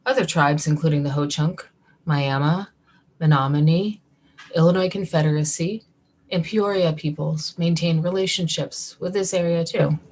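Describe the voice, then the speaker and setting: A woman, a lay leader reading prepared sermon remarks in a measured, earnest way. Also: low-pitched, a little mellow, a little hiss or hum.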